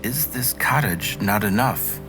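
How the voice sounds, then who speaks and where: A man's voice: lowish voice, animated, measured pace, music in the background, pitched above the performer's own voice. A man voicing a meek, humble old fisherman in a storybook, earnest and deferential in his pleading. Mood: gentle, uneasy.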